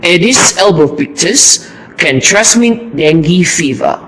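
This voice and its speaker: A man acts out a heroic, instructive puppet-show champion. His voice sits fairly high and swoops around as he slowly recites his lines with earnest deliberation. There is steady noise in the amateur recording. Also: heavily acted, rough recording. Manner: deliberate, declamatory, recited delivery. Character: heroic mosquito destroyer, male, earnest and instructive.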